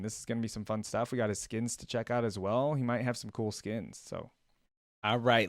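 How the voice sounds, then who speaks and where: A man's voice: medium pitch, no room sound. Dry-sounding man reacting casually. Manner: halting, easily impressed, informal. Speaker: reaction video host. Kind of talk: casual off-the-cuff reaction commentary.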